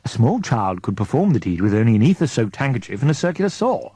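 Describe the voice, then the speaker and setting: A man's voice: medium pitch, sing-song, fast, warm tone, husky, dry recording. A man voicing a self-assured, clinical male ship's doctor in an audiobook, precise and matter-of-fact. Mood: clinical, reassuring. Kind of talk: audiobook character dialogue.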